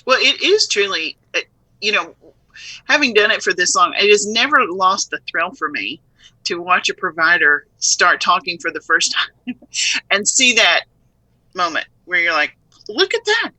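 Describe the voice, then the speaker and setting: A woman speaking as a guest in a casual live video interview, lively and playful in manner. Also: on the low side, normal speed, dry recording, poor audio. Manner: lively, playful, laughs easily. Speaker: software trainer and company head.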